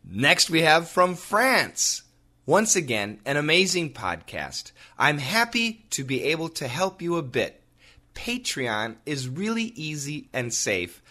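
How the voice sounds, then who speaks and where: A man, hosting an English-learning podcast and reading out listener comments in an upbeat, animated way. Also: a little high. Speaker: podcast host and English teacher.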